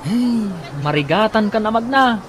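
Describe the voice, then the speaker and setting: A man's voice: high-pitched, expressive, unhurried, a crowd in the background, noisy background. A man playing an exasperated, nagging husband in a radio comedy drama, with an Ilocano accent and a grumbling manner. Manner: grumbling, complaining. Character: exasperated husband nagging about his wife's flashy outfits.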